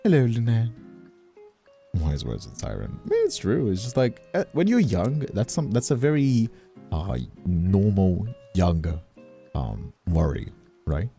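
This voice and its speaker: A young man from Brazil chatting casually on a livestream, halting and repeating himself as he checks for agreement. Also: on the low side, huge pitch swings, warm tone. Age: young adult. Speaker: streamer. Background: background music.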